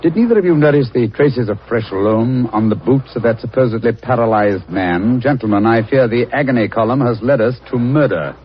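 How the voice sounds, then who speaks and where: An actor playing an incisive, coolly commanding English detective with a British accent in a 1940s radio drama. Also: male voice, medium pitch, fairly quick, hardly any pauses, lightly acted. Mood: grave, incisive. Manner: precise, clipped, polite. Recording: muffled, noisy 1940s radio recording.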